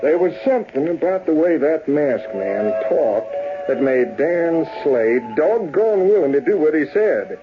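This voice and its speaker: A man's voice: a little high, very animated, a little slow, over music. A man playing a folksy American old-west storyteller in an old-time radio western, speaking in a colloquial drawl. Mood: folksy, animated. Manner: drawling, colloquial, chuckling cowboy yarn-spinning. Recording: tinny, noisy old radio broadcast recording.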